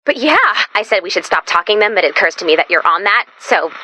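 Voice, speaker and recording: A woman voicing an excitable, brainy and neurotic robot companion in a video game, very expressive and quick to get exasperated. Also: medium pitch, no echo. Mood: wry, casual. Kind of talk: animated video game voice acting.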